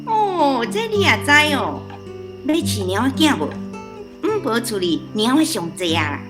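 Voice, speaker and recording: An actress playing a chatty, teasing village woman in a radio drama, expressive and with a Taiwanese accent. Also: a little high, music in the background. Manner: expressive, drawn-out exclamations.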